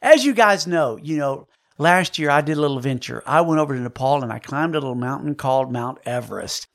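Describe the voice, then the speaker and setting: A man's voice: medium pitch, lively intonation, brisk. A man hosting a faith interview with enthusiastic, preacher-like emphasis. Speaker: interview host.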